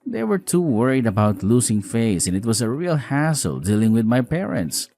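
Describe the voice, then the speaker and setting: Very animated, earnest husband acted by a man. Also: mid-range voice, normal speed. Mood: resigned. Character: apologetic, weary newlywed husband, caring and frank. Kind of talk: scripted dialogue, audio story drama.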